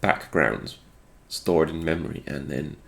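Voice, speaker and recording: Man, low-pitched, congested from a cold, musing technical walkthrough, unscripted. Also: measured pace, gravelly, fairly bright tone. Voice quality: slightly congested. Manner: thinking aloud, musing. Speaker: host of a retro game programming video.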